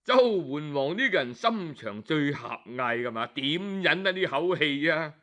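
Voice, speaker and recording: A man with a Cantonese accent telling a historical tale colloquially, with drawn-out particles and interjections. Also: a little high, expressive, sharp tone. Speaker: storyteller. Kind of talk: lively traditional oral storytelling of historical tales.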